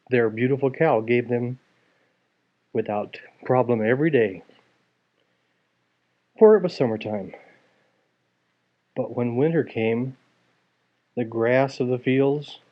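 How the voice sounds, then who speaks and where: A man reading a story aloud to a child, expressively, with casual asides. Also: medium pitch. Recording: dull lo-fi home recording.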